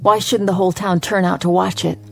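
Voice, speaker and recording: An actress playing a bitter, vengeful sheriff's wife in a radio drama. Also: female voice, on the low side, level tone, a little fast, vocal fry, a bit bright. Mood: spiteful. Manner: harsh, spiteful.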